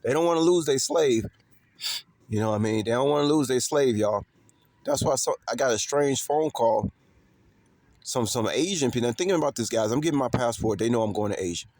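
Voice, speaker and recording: A man's voice: medium pitch, a little fast, a little mellow, dry recording. A man from talks off the cuff to his viewers, emphatic and repetitive, with street slang and swearing. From Chicago, United States. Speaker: vlogger. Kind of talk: casual unscripted monologue to viewers.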